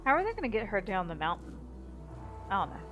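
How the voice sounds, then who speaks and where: A woman's voice: mid-range voice, sing-song, fast talker, a bit bright, lo-fi sound, faint music behind. A woman giving casual gameplay commentary, informal and chatty, thinking aloud as she plays. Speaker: game streamer.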